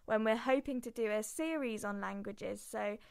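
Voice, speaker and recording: Young female student radio host, smooth clear voice, chatty and easygoing, close dry sound. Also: on the high side, moderate pace, darkish tone, dry recording. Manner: chatty, laughs through technical hitches. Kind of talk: casual radio presenting.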